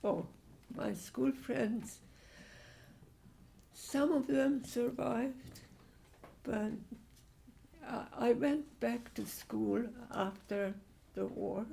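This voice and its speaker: A woman, answering audience questions about her life in a slow, halting way with frequent restarts. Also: fairly high pitch, creaky, mellow, low-quality recording. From Hungary. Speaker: Holocaust survivor speaker.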